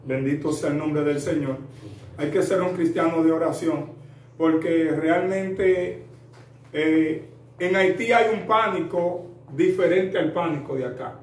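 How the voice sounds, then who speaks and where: A Dominican man in his thirties with a somewhat high voice, answering as a preacher in an earnest, rambling way full of fillers. He sounds set back from the mic in a roomy space with a faint hiss behind him. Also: unhurried. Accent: Dominican Spanish. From Dominican Republic. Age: 30s. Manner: earnest, addresses listener as usted, frequent fillers. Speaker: preacher guest. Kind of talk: storytelling interview answers. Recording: roomy echo, distant mic, faint noise.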